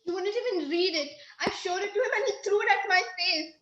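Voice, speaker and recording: A woman's voice: extremely high pitch, a little fast, fairly bright tone, highs cut off, slight background noise. A woman playing a pushy, self-pitying petitioner in a comic play, insistent, plaintive and melodramatic. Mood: insistent, indignant. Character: pushy, wailing, self-pitying wife petitioning officials, relentlessly stubborn. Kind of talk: comic stage-play acting. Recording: roomy, band-limited recording.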